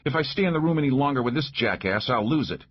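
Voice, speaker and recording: A man's voice: mid-range voice, expressive, fast talker, husky, highs cut off, pitched above the performer's own voice. A man voicing a hot-tempered, foul-mouthed, combative female sheriff in an audiobook. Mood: defiant, blunt. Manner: combative, blunt, cursing. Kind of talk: audiobook character voice.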